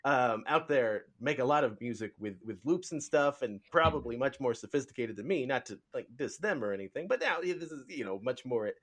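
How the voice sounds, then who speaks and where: A man hosting a podcast in casual interview chat, speaking haltingly and rambling as he searches for words. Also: mid-range voice, lively intonation, a bit bright. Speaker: podcast host.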